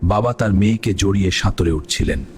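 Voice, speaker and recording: A man's voice: low-pitched, a little fast, very noisy. A man reading a scripted, dramatic story as narrator. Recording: loud background music.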